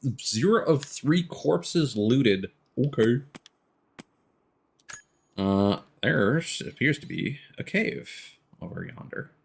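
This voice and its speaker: A man's voice: medium pitch, expressive, slow pace, gravelly, breathy edge. A man streaming a video game with casual live commentary and dryly playful asides.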